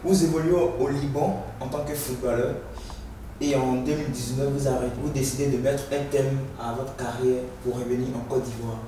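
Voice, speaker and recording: A man's voice: medium pitch, flat delivery, moderate pace. A man hosting an interview, putting formal, prepared questions to his guest. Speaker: interviewer, host. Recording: echoey, distant mic, faint noise behind.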